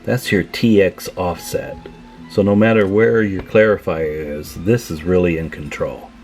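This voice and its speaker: A man giving a casual hands-on repair tutorial, talking informally and haltingly as he thinks aloud while working. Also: sits low, darkish tone, soft background music. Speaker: video host demonstrating CB radio tune-up.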